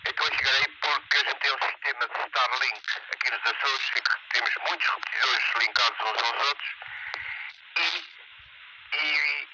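A man chatting courteously and a little haltingly as a ham radio operator, heard through a two-way radio. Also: mid-range voice. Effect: two-way radio transmission. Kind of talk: casual radio contact chat. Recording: noisy handheld radio speaker outdoors.

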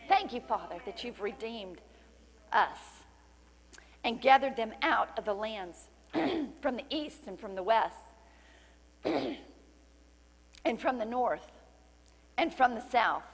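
A middle-aged woman pastor preaching a sermon with fervent praise and scripture reading, her voice slightly cracking from loudness. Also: fairly high pitch, animated, takes it slowly, long pauses, husky. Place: large church stage indoors. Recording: long hall reverb, faint hum.

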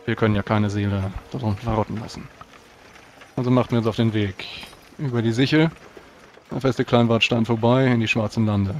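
A man speaking formally and deliberately as a proud, pious knight in a tabletop role-playing game. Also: lowish voice, steady tone, a little mellow. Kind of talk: in-character role-play narration. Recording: clear background noise.

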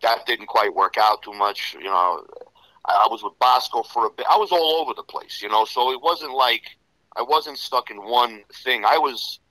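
A man's voice: medium pitch, lively intonation, normal speed, sharp tone, no room sound, poor audio. A middle-aged man with a New York Italian-American accent, telling stories as an interview guest in a loose, rambling way, packed with "you know" fillers. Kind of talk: casual storytelling interview.

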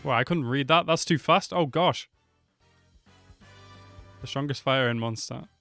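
A man's voice: medium pitch, measured pace, sharp tone. A man in his twenties giving casual reaction commentary into a close microphone. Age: 20s. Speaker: reaction video host. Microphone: close desk microphone. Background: game trailer music.

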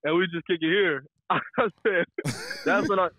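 A man's voice: sits high, normal speed, sharp tone, dry recording, low-quality recording. A young American man, a caller casually telling a personal story in slangy, profane language.

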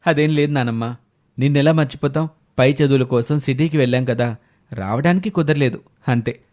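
A man's voice: mid-range voice, brisk, a little mellow, lightly acted. A man voicing a respectful, dutiful young man in a family story, polite and earnest, with music behind. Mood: caring. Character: respectful young adult grandsons, earnest, dutiful, caring brothers.

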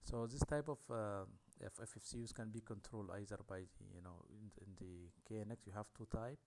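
A man giving a technical lecture over slides, talking in a halting way with many fillers. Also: fairly low pitch, heavy background noise.

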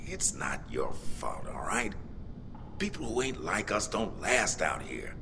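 An actor playing a tough, cynical zombie apocalypse survivor in a video game, blunt, streetwise and foul-mouthed. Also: male voice, on the low side, sing-song, measured pace, big-room sound, noisy background. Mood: gruff, weary. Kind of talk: video game cutscene acting.

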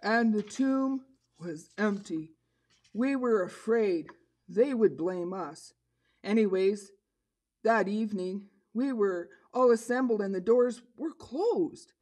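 A woman voicing an earnest disciple puppet, telling a story to children in a deliberate manner. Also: medium pitch, darkish tone. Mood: earnest awe. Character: earnest disciple puppet recounting Jesus' return.

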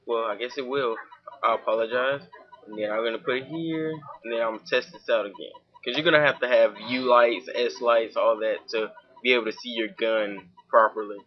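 A young man from Georgia with a Southern accent, talking casually through a software tutorial at his home computer, full of fillers. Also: medium pitch, steady tone, normal speed, dull audio. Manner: informal, many fillers, talks while clicking. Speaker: tutorial host.

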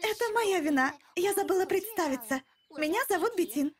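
A woman's voice: very high-pitched, lively intonation, a little fast. A woman voicing a haughty yet friendly teenage martial-arts girl in an animation dub. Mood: friendly, eager. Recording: faint music behind the voice.

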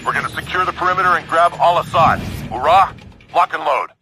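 Gung-ho Marine lieutenant. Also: male voice, on the high side, fairly quick, sharp tone, a little hiss or hum. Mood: rallying. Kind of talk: military orders in a game.

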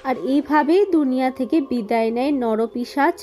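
A woman's voice: high voice, normal speed, mellow, slight background noise. A woman narrating a scripted horror story as the storyteller. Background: background music.

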